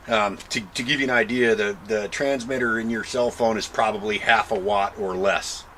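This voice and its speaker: An American man giving a casual, halting explainer to camera in an informal, thinking-aloud way. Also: on the low side, sharp tone, a little hiss or hum. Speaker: vlogger showing a truck modification.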